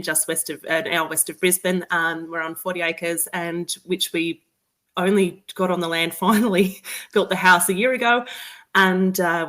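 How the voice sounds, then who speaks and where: A woman in her forties with an Australian accent and a slightly low voice. She speaks as a guest in a casual, candid conversation. Her delivery is wry and joking. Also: a bit bright. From New South Wales, Australia. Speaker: interview guest, romance author.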